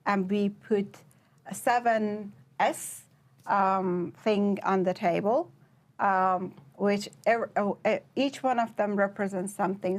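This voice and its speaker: A young woman from Iran, answering interview questions as a guest, with many pauses and fillers. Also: medium pitch. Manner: hesitant, many fillers and restarts. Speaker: PhD researcher, guest.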